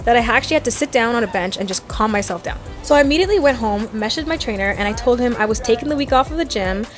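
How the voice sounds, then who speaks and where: A young woman chatting through a fitness vlog voice-over, telling a story with quick self-deprecating laughs. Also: fairly high pitch, lively intonation, soft background music. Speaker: fitness vlogger. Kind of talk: voice-over narration.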